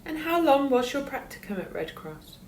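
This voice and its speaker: A woman's voice: high voice, big pitch swings, normal speed, a little mellow, slight background noise. A woman clinical director hosting a podcast interview, asking questions in an upbeat, inviting way.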